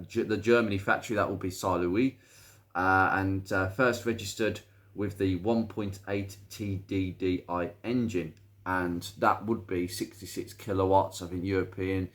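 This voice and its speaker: British guy doing car video commentary, lowish voice a bit croaky from hay fever, chatty, kinda rambles. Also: fairly bright tone. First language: English. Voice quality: slightly croaky. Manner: chatty, hesitant, rambling asides. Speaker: presenter. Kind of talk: informal car video commentary, reading out a viewer's message.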